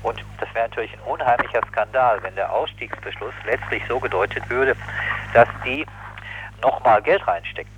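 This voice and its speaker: A man speaking as an activist in a spontaneous political interview, critical and opinionated, halting and correcting himself as he goes. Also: mid-range voice, slight background noise. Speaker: anti-nuclear activist interviewee. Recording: muffled, lo-fi 1980s radio recording.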